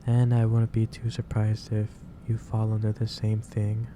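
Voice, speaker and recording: A man playing a gentle, caring office boss in an ASMR roleplay, speaking haltingly with repeated phrases over a noisy background. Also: fairly low pitch, completely flat delivery, natural voice. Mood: gently concerned. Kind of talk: soft-paced ASMR roleplay monologue. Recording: clearly noisy background hiss or ambience.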